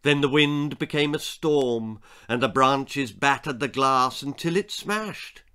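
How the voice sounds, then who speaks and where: An older man reading a children's ghost story aloud in a theatrical, suspenseful, drawn-out way. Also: mid-range voice, pushed and bright, clear, resonant tone. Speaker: author reading own story. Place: small book-lined room indoors.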